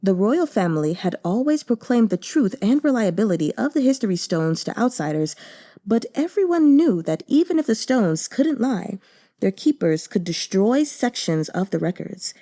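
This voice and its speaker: Female audiobook narrator, mid pitch, mellow, measured delivery. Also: very animated. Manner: measured, deliberate storytelling. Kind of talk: scripted narration of a novel translation.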